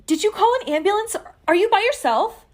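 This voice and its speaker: Concerned, exasperated woman on a call; high voice, very animated, emotive audio drama delivery. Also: a little fast. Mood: alarmed. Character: exasperated, concerned woman, former partner on the phone.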